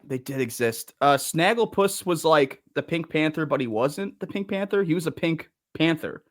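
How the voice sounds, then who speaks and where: A young man talking as a podcast guest in a relaxed, profane way. His voice swings widely in pitch and sits slightly high for a man. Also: normal speed. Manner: animated, laid-back, swears casually. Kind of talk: casual chat.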